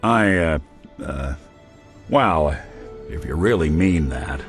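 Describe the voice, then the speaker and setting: An actor playing a world-weary male synth detective in a game, talking with a gruff, laconic noir drawl. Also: a bass voice, expressive, slow, soft background music, exaggerated voice. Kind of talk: game companion dialogue.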